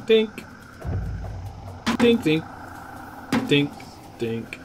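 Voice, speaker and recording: A man's voice: a little high, very animated, very slow, background noise. A man commentating his video game playthrough in a playful, joking way, humming along and teasing the characters. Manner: playful, hums and jokes at the screen. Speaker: let's play gamer. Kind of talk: let's play commentary.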